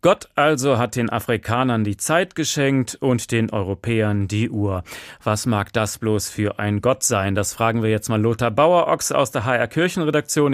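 A man hosting a radio show, introducing a guest and asking clear, lightly wry questions. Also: medium pitch, no echo. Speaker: radio host. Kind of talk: studio moderation.

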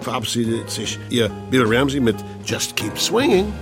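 An older man signing off a radio show with lively flair, from an archive broadcast. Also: medium pitch, normal speed, music in the background. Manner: lively, swinging sign-offs.

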